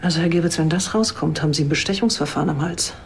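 An actress playing a brisk, matter-of-fact police superior in a television crime comedy. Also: female voice, low-pitched, vocal fry, a little hiss or hum. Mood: stern. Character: brisk female police superior, strict but fair.

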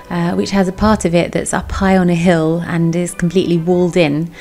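Creaky low-voiced woman vlogging. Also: warm tone. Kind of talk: chatty vlog voice-over. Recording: background music. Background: music.

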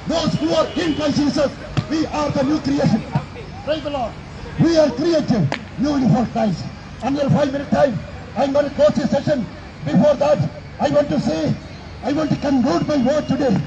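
High-voiced man preaching in a busy plaza. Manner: emphatic, repetitive declarations. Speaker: street preacher. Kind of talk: open-air street sermon. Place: outdoor city plaza by a railway station. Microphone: handheld microphone. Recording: crowd and city noise outdoors.

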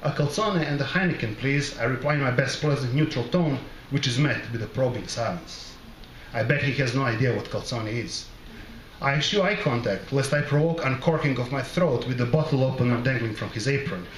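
A middle-aged man from the former Yugoslavia reading his literary short story aloud at a microphone, in a measured, deliberate and wry way. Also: medium pitch. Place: small bar with brick wall, indoors. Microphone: close microphone on a stand. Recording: roomy live recording, background noise.

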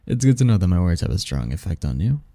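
A man playing a possessive, teasing sleep demon lover in an intimate ASMR roleplay, speaking softly in formal, flirtatious phrases. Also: low voice, very animated, fast talker, no echo, exaggerated voice. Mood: teasing, seductive. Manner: flirtatious, formal wording, soft intimate delivery, chuckling.

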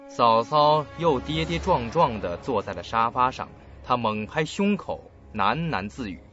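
A man narrating a radio drama in an expressive, suspenseful, scripted style. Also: medium pitch, measured pace. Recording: dull lo-fi audio, faint music.

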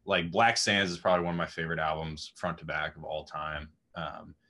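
A young man in his twenties with a raspy voice, chatting casually as a guest musician on a video call, rambling with lots of fillers. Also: rumbling low, flat delivery, fairly quick, bright, forceful tone. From Austin, Texas. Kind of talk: casual interview chat. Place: home room with music gear. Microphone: video call webcam.

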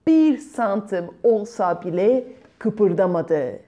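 A woman in her thirties tells a children's story at a slow pace. Her voice is soft-edged and mellow, and her delivery is theatrical, stretching words and adding sound effects. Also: medium pitch, lively intonation. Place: bright room at home.

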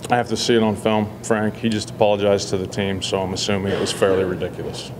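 A man in his forties, a football head coach, answering reporters after a loss in a measured, halting way with many fillers. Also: on the low side, flat delivery, noisy background. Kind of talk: post-game press scrum. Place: indoor press backdrop area. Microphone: several handheld reporter mics.

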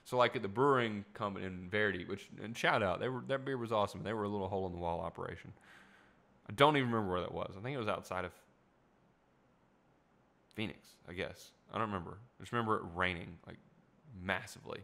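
A young podcast host thinks out loud in a small room at home, full of fillers and asides. His voice sits on the low side but has a bright, forceful edge. It sounds a little worn from a lot of talking. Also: fast talker, vocal fry. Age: young adult. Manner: rambling, reflective, many fillers and asides. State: voice tiring. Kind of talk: casual solo podcast talk. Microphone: studio mic in front of him.

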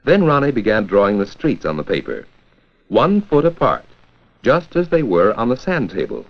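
An American man narrating a 1940s educational film from a script, with a clear, measured storytelling delivery. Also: on the low side, sing-song. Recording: dull, lo-fi 1940s 16mm film soundtrack.

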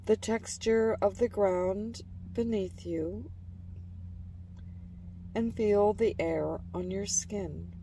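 A woman narrating a guided imagery relaxation in a calm, gentle, soothing manner, letting phrase endings trail softly. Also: mid-range voice, drawn-out words, distant mic, a little hiss or hum, rough recording.

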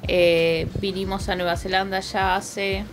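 A middle-aged woman with a Buenos Aires accent, calmly answering as a guest with hesitant fillers. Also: mid-range voice, measured pace, soft background music. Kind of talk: casual interview talk. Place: living room, indoors.

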